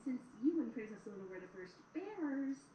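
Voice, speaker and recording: A woman's voice: on the high side, big pitch swings, fairly quick, warm tone. A woman voicing a young pony princess, an earnest, inquisitive and slightly awkward student in an audio drama. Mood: earnest, hesitant. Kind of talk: fan audio drama acting. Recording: echoey, distant, faintly noisy playback.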